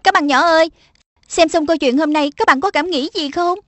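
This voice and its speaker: A woman's voice: extremely high pitch, a little fast, fairly bright tone, no room sound. A woman narrating a children's cartoon, addressing the kids in a teacherly way. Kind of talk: children's moral wrap-up narration.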